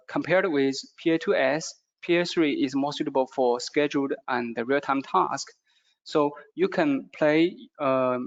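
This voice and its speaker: A man who is a non-native English speaker gives a product presentation in a halting way, with frequent hesitations. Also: mid-range voice, highs cut off. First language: not English.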